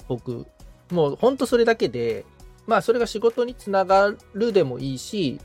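Man, animated pitch, casual conversational style, agreeable, reflective, podcast assistant. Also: gravelly. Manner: agreeable, frequent backchannels, reflective. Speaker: podcast assistant, client being coached, guitar repairer.

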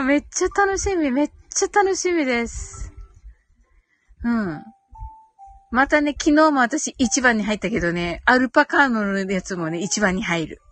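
A woman's voice: sits high, fairly bright tone, no room sound. A woman hosting a casual live audio chat, giggly and chatty, reading out comments and murmuring agreement. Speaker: host of a live audio stream.

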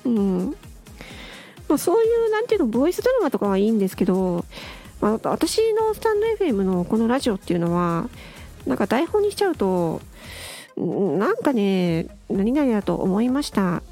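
Woman chatting off the cuff, kinda high voice, really up and down, close mic, soft music. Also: big pitch swings, moderate pace. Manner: musing, murmuring as if to herself. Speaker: solo audio show host and voice actor. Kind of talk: unscripted casual monologue. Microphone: close to the microphone.

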